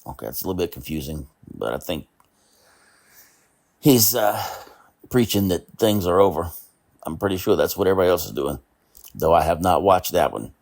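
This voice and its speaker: A man with a fairly low voice talks straight to his audience in a relaxed vlog, reading titles off his screen. His asides come out exasperated and drawn out, with plenty of sighs of disbelief between them. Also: moderate pace, vocal fry, pauses often. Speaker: vlogger. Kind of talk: casual commentary reading video titles aloud.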